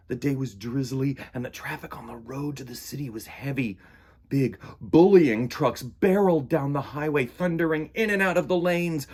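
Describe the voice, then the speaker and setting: A man's voice: a little high, a little mellow, breathy edge. A man reading a picture book aloud to children with dramatic emphasis and stretched words. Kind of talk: animated children's story reading.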